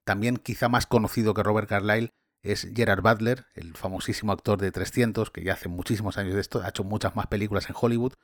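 A man hosting a podcast conversation, relaxed and chatty, often adding tag questions. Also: on the low side, fast, no echo. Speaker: podcast host and tour guide. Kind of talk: casual conversation.

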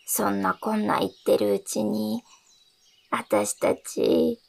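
An actress playing a gentle elderly wife, speaking slowly and quietly with nostalgia in a radio drama. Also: female voice, medium pitch, big pitch swings, gravelly, heavily acted. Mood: wistful, tender. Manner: slow, quiet, wistful.

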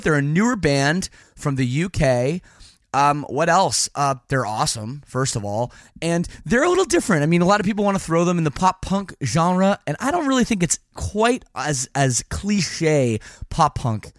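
A slightly hoarse man hosts his podcast in a casual, rambling way, tossing in self-deprecating jokes. His pitch swings widely as he talks. The sound is close and dry, with no room around him. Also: sing-song, normal speed, no room sound. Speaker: podcast host. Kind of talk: casual chatty podcast intro.

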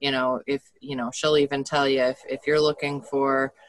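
A man's voice: mid-range voice, flat delivery, moderate pace, a bit bright, no echo, rough recording. Easygoing man host with a dry voice. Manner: easygoing and rambling, with many fillers. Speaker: interview host. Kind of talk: casual conversational interview.

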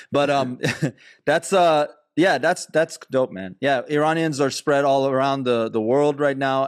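A man hosting a casual podcast interview, talking in an animated way with lots of restarts and the odd swear word. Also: mid-range voice, dry recording, studio quality. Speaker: podcast host.

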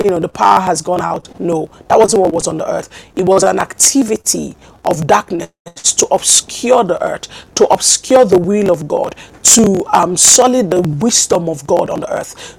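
A woman preacher gives a teaching sermon with a husky, gravelly voice at a middle pitch. She talks in a measured way, filling pauses with ums and repeating phrases as she explains. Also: darkish tone, dry recording, poor audio. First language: not Hebrew.

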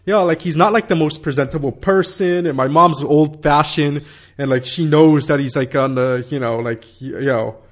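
A man's voice: a little high, moderate pace. A man in his thirties telling a long story in casual conversation, rambling with many fillers, swearing and laughing.

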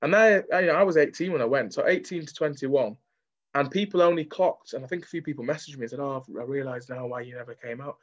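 A man's voice: medium pitch, fast talker. Mancunian man, dull lo-fi sound. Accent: Manchester. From Manchester, England. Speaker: interview guest, actor. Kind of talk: candid conversational interview.

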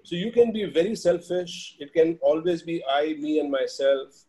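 An Indian-accented man in his forties with a fairly high voice. He tells stories conversationally as a guest, laying out his reasoning step by step in a reflective way. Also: normal speed, mellow, low-quality recording. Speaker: interview guest, HR leader. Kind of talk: conversational personal storytelling.

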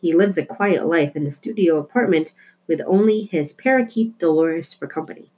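A woman voicing an earnest, excitable young girl in a storybook, explaining things eagerly and emphatically. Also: on the low side, moderate pace, close to an everyday voice. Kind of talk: storybook character voice.

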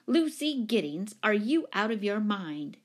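A woman voicing a large, commanding, haughty lady, booming, imperious and fussy, in a storybook reading. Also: very animated, unhurried. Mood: indignant.

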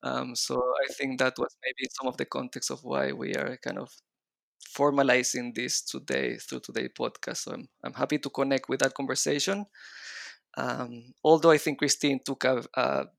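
A middle-aged man speaking with a blend of Israeli and Chilean Spanish accent, his voice carrying a gravelly edge. He talks as an interview guest, hesitant and restarting often, and the sound is close and dry. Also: mid-range voice, lively intonation, normal speed, a bit bright, dry recording. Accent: Israeli and Chilean Spanish mix. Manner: hesitant, frequent restarts and fillers. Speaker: guest designer. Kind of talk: casual interview conversation.

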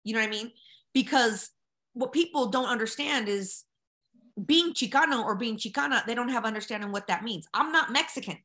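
A woman's voice: fairly high pitch, brisk, pushed and bright. An American woman from Colorado talking casually and with strong opinions as an interview guest, brash and slangy in her straight talk. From Colorado, United States. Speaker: podcast guest.

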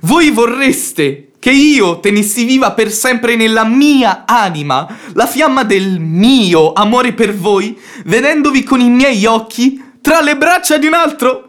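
Impetuous young gentleman lover, high-pitched, wildly varied intonation, indignant, theatrical radio comedy. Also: animated, slow pace, few pauses, heavily acted. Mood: indignant, wounded. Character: hot-headed, wounded, proud young gentleman in love. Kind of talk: classic stage comedy radio acting.

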